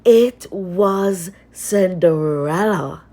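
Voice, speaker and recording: A woman reading a children's story aloud as scripted narration, expressive and with dramatic pauses. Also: on the low side.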